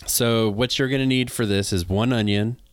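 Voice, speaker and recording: A man's voice: fairly low pitch, steady tone, moderate pace, no echo, studio quality. A man co-hosting a gardening show in casual, unscripted chat, explaining things in a conversational way.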